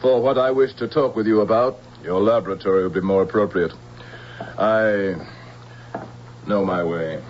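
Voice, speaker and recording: An actor playing a menacing, imperious general in an old-time radio drama, giving clipped commands and cold threats. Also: male voice, lowish voice, normal speed, pauses often, close to an everyday voice. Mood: curt, imperious. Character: menacing, commanding male general plotting interplanetary war. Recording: muffled vintage radio broadcast, faint hiss.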